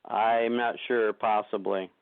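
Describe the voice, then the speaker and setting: A man's voice: fairly low pitch, level tone, slow pace, clear, resonant tone, dry recording. A man calling in with questions, speaking casually, politely and a little hesitantly. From United States, living in Ohio. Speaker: first-time caller. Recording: narrow dry phone line.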